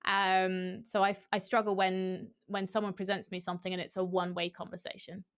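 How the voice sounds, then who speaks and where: A woman's voice: medium pitch, clear, resonant tone. A young woman esports host, a guest on a video call, talking candidly and animatedly through a headset. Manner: candid, animated, quick with restarts and fillers. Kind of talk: casual interview chat. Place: small room at home.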